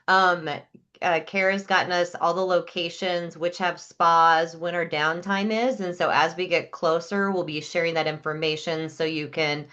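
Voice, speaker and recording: A woman co-hosting a video call webinar, calmly adding organized side notes. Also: fairly low pitch, normal speed, smooth, lo-fi sound.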